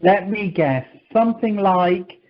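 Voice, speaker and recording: A woman's voice: mid-range voice, slow pace, lightly acted. A woman playing an exasperated but polite male airline clerk in an amateur radio comedy. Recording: dull telephone conference line.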